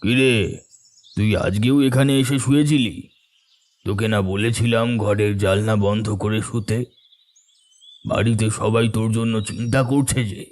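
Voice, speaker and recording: A man playing a concerned older village uncle in a cartoon horror story, speaking gravely and deliberately as he cautions. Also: on the low side, fairly even intonation, a little mellow, natural voice. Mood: concerned, scolding.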